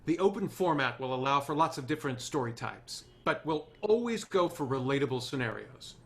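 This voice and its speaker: A man's voice: mid-range voice, animated, fairly quick, gravelly. A man playing an idealistic, charming, swaggering TV writer in a screenplay table read. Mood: confident pitching.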